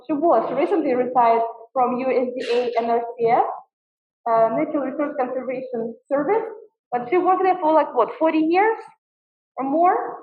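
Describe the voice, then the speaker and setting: A woman introducing a guest speaker at a talk, admiring and with frequent fillers. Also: high voice. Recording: tinny, distant and echoey.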